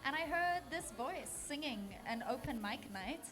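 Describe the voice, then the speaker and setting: A woman's voice: high-pitched, animated, moderate pace, low-quality recording. A woman from Zimbabwe, a singer being interviewed on radio, talking earnestly with many ums and restarts. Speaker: singer guest. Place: radio studio. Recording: radio studio with music behind.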